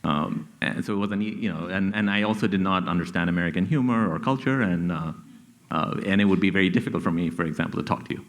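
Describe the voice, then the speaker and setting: A man's voice: low voice, fast talker. A middle-aged man with a Bangladeshi accent, explaining research on a panel and often checking in with "okay?" and "right?". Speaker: economics professor, panelist. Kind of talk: panel discussion. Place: stage at a public event.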